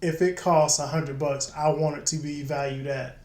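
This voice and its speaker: A man's voice: on the high side, steady tone, normal speed, a little mellow. A man chatting casually as co-host of a drinks review show. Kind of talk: casual chat. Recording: echoey room with some background noise.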